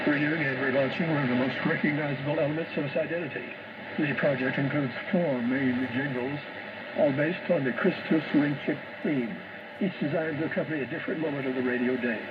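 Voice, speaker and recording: Newsreader on noisy shortwave radio. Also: male voice, medium pitch, muffled recording. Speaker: radio host reading shortwave listening news. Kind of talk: scripted radio news bulletin read-out. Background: loud radio static and hiss.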